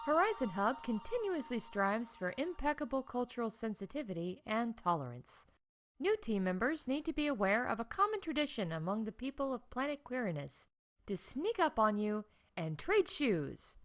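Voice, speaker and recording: Animated, high-voiced woman, acting as a wry announcer. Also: normal speed. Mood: brisk, wry. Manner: animated, wry, emphatic, conversational asides. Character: chatty, wry theme park staff announcer woman. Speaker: in-house announcer of a theme park podcast. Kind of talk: scripted comedic announcements in fiction podcast.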